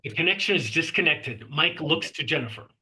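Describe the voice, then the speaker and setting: Bright, husky male script narrator. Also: fairly high pitch, lively intonation, brisk, rough recording. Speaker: narrator reading stage directions.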